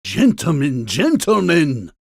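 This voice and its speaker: A man's voice: fairly high pitch, huge pitch swings, slow pace, creaky, no room sound, clean studio sound, heavily acted. An actor playing a pompous king who is a living kitchen cleaver, speaking in declamatory rebukes in a full-cast audiobook. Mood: weary.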